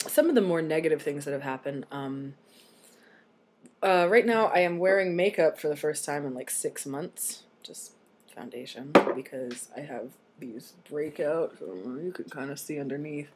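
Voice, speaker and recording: A young adult man with a voice slightly deepened early in transition, talking casually and candidly in a personal vlog, with some swearing. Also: moderate pace. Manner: candid, self-deprecating, sweary. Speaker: video blogger. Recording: noisy home recording.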